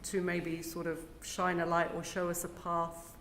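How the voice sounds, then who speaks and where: Low-voiced woman interviewer, unhurried and hesitant, echoey room with faint hiss. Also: monotone, a bit bright. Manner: slow, tentative questioning. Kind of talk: conversational discussion.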